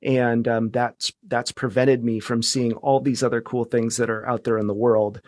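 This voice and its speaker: A man chatting casually as a podcast guest, halting and tentative as he thinks aloud. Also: medium pitch, flat delivery, darkish tone, dry recording. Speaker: podcast producer, interview guest. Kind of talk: casual chat.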